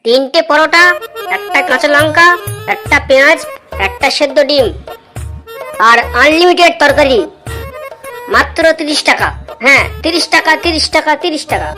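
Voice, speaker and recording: A woman's voice: high voice, normal speed, long pauses, over music, lightly acted. A woman voicing a cheeky street food vendor in a comedy cartoon, hawking and needling. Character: cheeky paratha vendor haggling with customers.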